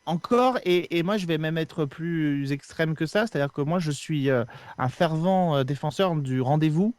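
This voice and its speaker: Man, fairly high voice, dry sound, explanatory, drawn-out hesitations, casual discussion. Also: darkish tone, dry recording. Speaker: podcast regular.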